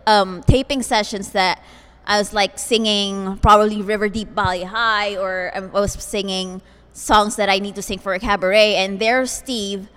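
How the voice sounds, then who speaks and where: A woman's voice: medium pitch, steady tone, moderate pace, a bit bright, smooth. A woman guest telling a story in a chatty way, hesitating often with "like". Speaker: guest, alumna. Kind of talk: casual interview chat. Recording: reverberant hall.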